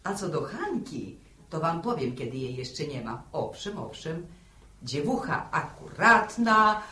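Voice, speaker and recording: A woman's voice: sits low, big pitch swings, measured pace, bright, forceful tone, slight background noise, full character voice. An actress playing a teasing, gossipy village woman with speech in a 1960s radio drama. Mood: confiding.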